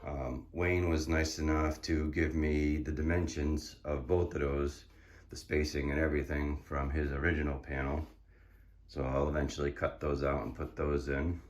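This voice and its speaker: A hoarse man casually walking through his build in a garage workshop, explaining with many fillers and restarts. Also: extremely low pitch, flat delivery, moderate pace, a little mellow. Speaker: car builder showing his project.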